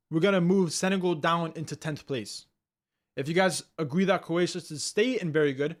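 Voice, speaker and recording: Energetic young man streaming live, a bit high. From Canada. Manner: energetic, repetitive, slangy, addresses viewers as "guys" and "bro". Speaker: livestream host. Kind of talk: casual live-stream chat.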